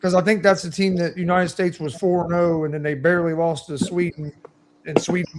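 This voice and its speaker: A man on a sports chat show who rambles on, repeating and restarting his sentences. His voice is a little high for a man. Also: flat delivery, a little fast, noisy background. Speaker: co-host.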